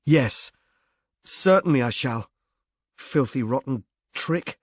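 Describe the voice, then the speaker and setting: A man voicing a shy, bewildered young man with a halting, slightly stammering delivery in a mystery audiobook. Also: medium pitch, lively intonation, breathy edge, no echo, studio quality. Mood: miserable. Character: bashful, bewildered young man, haplessly miserable. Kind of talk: audiobook character voice, British mystery novel.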